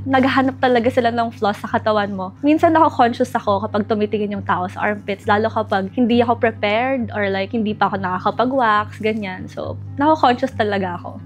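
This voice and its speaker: High-voiced young Filipino woman chatting. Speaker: interview guest. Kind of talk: casual personal storytelling, mixing two languages. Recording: music behind the voice. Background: background music.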